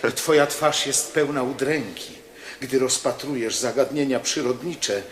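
An actor playing an earnest, persuasive missionary companion in a radio drama. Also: male voice, medium pitch, steady tone, measured pace, fairly bright tone, slight background noise, lightly acted. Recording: echoey radio drama studio. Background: music.

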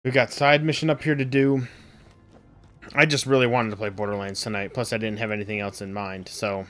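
A man in his thirties with a raspy, sore voice, rambling through casual live-stream commentary at home with lots of ums. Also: fairly low pitch, a bit bright. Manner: rambling, full of ums and uhs. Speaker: game streamer.

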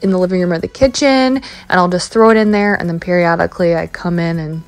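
A woman in her thirties talks through her cleaning and decluttering in a loose, chatty voice-over, full of "um" and "you know". Her voice sits fairly low for a woman. The recording is dry and close, with almost no room sound. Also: fast, dry recording, faint music behind. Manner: chatty, relaxed, with many fillers like "um" and "you know". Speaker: home cleaning vlogger. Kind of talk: casual voice-over narration. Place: home kitchen.

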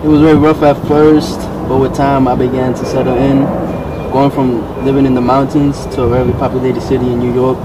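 A man from Ecuador, a native Spanish speaker, telling his story in measured, prepared-sounding interview answers. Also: mid-range voice, level tone. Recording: loud traffic noise behind.

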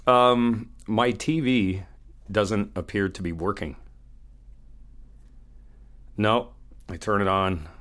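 A man playing an easygoing, flirty hotel guest in an audio roleplay, chatting casually and haltingly in one-sided phone talk. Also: on the low side, steady tone, fairly bright tone. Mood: flirty, playful. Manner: casual, halting, slangy, swearing.

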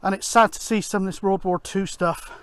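A middle-aged man gives casual outdoor vlog commentary in a rambling, halting way, thinking aloud. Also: high voice, steady tone, a bit bright, clear, resonant tone. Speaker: amateur radio vlogger, presenter. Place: outdoors on an open grassy hillside.

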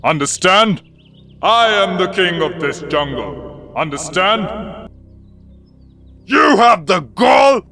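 Cartoon lion king: furious, pompous menace, fairly high forceful voice, deliberate pace. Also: lively intonation, full character voice. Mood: roaring rage. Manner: pompous, menacing, growling threats. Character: furious, imperious lion tyrant of the jungle. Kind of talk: animated cartoon acting. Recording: music behind the voice.

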